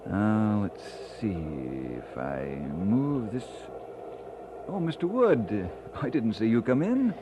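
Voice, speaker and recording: A young Scottish minister in an old radio play. He speaks slowly with an animated, swinging melody, polite, affectionate and a little absent-minded. The recording is clearly noisy. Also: on the low side, dull audio. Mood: absorbed, nervous. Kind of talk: old-time radio drama acting.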